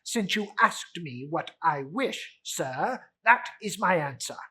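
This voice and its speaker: A man's voice: sits high, expressive, takes it slowly, sharp tone, slightly rough, full character voice. A man playing a cold, miserly old businessman in a storybook reading, curt and scornful.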